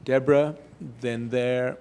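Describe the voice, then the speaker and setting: A man moderating a conference panel, briskly calling on people to speak, heard from away from the mic. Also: medium pitch, steady tone, dark tone, clean tone. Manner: brisk, directing turns.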